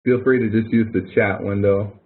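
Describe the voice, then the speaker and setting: A man's voice: on the low side, fairly even intonation, moderate pace. A man instructing students in an informal online webinar, explaining in a rambling, hesitant way with repeated words. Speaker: instructor running a help webinar. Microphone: online webinar audio connection. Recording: narrow, tinny online-call audio.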